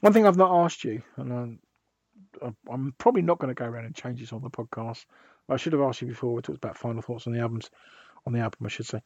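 A man hosting a music podcast, hoarse and husky from a sore throat, rambling with restarts. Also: mid-range voice, fast, a little mellow, no room sound, highs cut off. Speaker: podcast host. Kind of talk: casual podcast chat.

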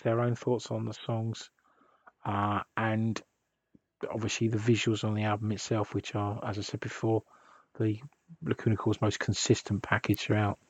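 A man hosts a casual music podcast, his voice husky and hoarse from a sore throat. He rambles and restarts his sentences. The sound is close, dry and a little dull. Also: mid-range voice, dry recording. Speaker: podcast host.

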